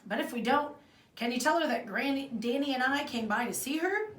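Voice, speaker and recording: A woman's voice: sits high, lively intonation, brisk, a little hiss or hum, pitched above the performer's own voice. A hearty, over-friendly storybook neighbor man played by a woman reader, a touch nervous under the cheer. The voice lifts above plain narration and rings in a roomy space, a little off the mic. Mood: friendly, awkward. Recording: roomy echo, distant mic.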